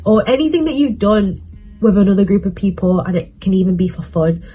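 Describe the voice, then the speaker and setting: Student vlogger, female, early twenties; relaxed, warm-toned, confident and rambling, faint music bed. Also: mid-range voice, fairly quick, slightly rough. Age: young adult. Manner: chatty, filler-heavy, self-assured. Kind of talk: casual advice vlog.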